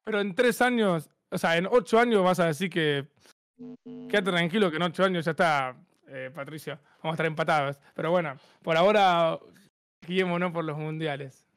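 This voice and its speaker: A man's voice: a little high, moderate pace, a bit bright, clear, resonant tone. A young man with an Argentine accent arguing insistently in an informal football debate. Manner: argumentative, insistent. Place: indoors, home streaming setup on a group video call.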